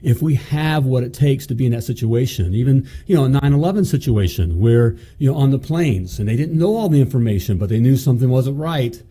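Middle-aged man, host, emphatic motivational talk, very mellow dark voice, studio mic. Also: medium pitch, animated, fairly quick, few pauses, breathy edge. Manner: emphatic, peppered with "okay?" and "you know". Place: indoor studio set. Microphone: studio mic on a stand.

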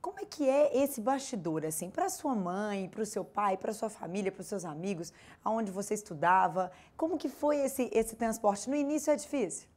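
A woman's voice: medium pitch, lively intonation, normal speed, hardly any pauses, a little mellow. A young woman hosting a TV interview program, asking upbeat leading questions into a handheld mic. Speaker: host. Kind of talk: TV interview and presenting.

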